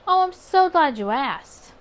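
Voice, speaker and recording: A woman's voice: high voice, expressive, measured pace, dull audio, a little hiss or hum, pitched above the performer's own voice. A woman voicing a flirtatious, teasing man from a 1920s bar in a visual novel, with a playful, conspiratorial manner. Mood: sly, flirtatious.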